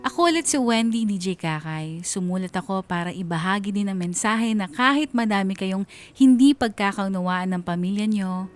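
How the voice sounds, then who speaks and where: A woman with a medium-pitched voice narrates a scripted personal story for radio. Her delivery is sorrowful and reflective. Soft music plays underneath. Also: animated, normal speed. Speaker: radio drama narrator reading a listener's letter.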